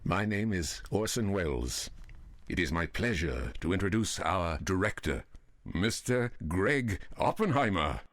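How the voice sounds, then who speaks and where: A man's voice: deep voice, sing-song, measured pace, fairly bright tone, full character voice. A man playing a dignified master of ceremonies giving a formal old-time radio introduction. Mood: stately. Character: dignified, sonorous male celebrity master of ceremonies.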